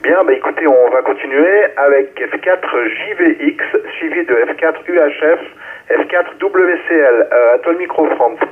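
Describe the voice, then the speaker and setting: A man's voice: on the high side, moderate pace. A man hosting an amateur radio net, handing over the microphone over a narrow two-way radio link. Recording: narrow, tinny ham radio relay.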